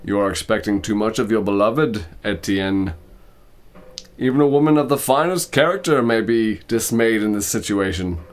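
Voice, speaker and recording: A man's voice: lowish voice, animated, moderate pace, soft background music, natural voice. A man acts out an elderly aristocratic tomcat from a storybook, grave and reserved. He speaks with a British accent and a formal, theatrical dignity. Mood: grave, sighing. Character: dignified, reserved old male cat, aristocratic father. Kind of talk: storybook reading with character voices.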